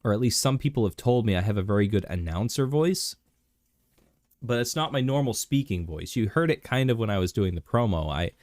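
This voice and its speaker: Male streamer, low voice, under the weather, rambly casual chat with lots of ums, dry close mic. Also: animated, a little fast, a little mellow, no room sound. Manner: rambling, many fillers and restarts. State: sick, clearing throat.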